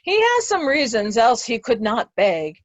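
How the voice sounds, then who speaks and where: A woman playing a despairing, blinded old nobleman, grave, halting and lamenting, in a Shakespeare reading. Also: a little high, wildly swooping pitch, dry recording, full character voice. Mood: bitter sorrow. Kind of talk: remote readers' theatre Shakespeare performance.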